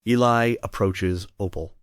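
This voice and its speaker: A man narrates a play script in a low-pitched voice. He reads scene headings and stage directions, and the sound is dry and close. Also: measured pace, clean tone, dry recording.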